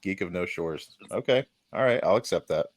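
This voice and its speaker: A man's voice: low voice, lively intonation, normal speed, dry recording. A man in his forties from Texas hosting a casual podcast, rambling, laughing easily and swearing. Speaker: podcast host and editor. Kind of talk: casual chat.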